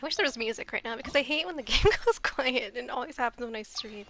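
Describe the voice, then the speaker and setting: A sleepy woman streamer talks through her game in a soft, hushed voice. Her tone is bright and sits a little high. Faint noise hangs in the background. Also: lively intonation, quick pace. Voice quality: soft, quiet voice. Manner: holds back volume, chatty with nervous laughs. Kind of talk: casual gameplay commentary. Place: home room, shared house.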